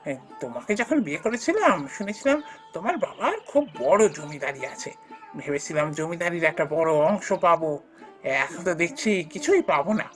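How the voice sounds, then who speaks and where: A woman's voice: fairly low pitch, sing-song, a little fast, faint music behind. A woman voicing a greedy, harsh landlord husband in a cartoon fairy tale, snapping and sarcastic. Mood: bitter, resentful. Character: greedy, harsh, scornful son-in-law, rent-collecting landlord.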